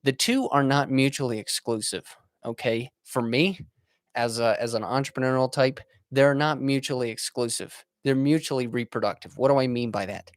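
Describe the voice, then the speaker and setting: A young man in his twenties hosts a solo podcast, talking earnestly to his listeners. He speaks in a motivational way, often pausing on fillers and restarting his sentences, and his voice sits at an ordinary middle pitch. The recording is dry and close, with no room sound. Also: no room sound. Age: 20s. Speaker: podcast host. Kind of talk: casual monologue.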